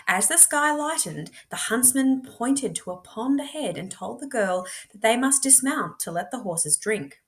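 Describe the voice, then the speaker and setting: Female storybook reader, medium pitch, measured and dramatic, leaving deliberate pauses. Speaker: teacher reading books aloud. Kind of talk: expressive storybook read-aloud.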